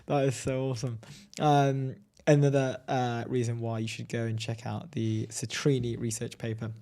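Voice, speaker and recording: Man, co-host, casual chat, dark mellow voice, laughs a lot. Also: medium pitch, moderate pace. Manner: laughing, animated, reads quotes aloud.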